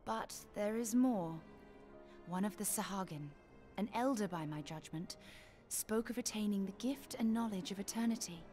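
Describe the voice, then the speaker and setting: Shrewd, composed female fantasy scholar-agent played by an actress, medium pitch, formal archaic delivery, music behind. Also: dark tone, close to an everyday voice. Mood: grave, urgent. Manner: formal, measured, archaic diction. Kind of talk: dramatic video game voice acting.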